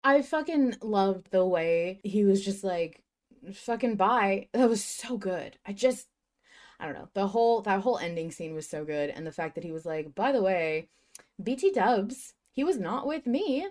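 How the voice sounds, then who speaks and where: A woman's voice: medium pitch, lively intonation, moderate pace, a little mellow. A woman hosting a casual fan podcast, talking in an emphatic, slangy way with plenty of swearing. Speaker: podcast host.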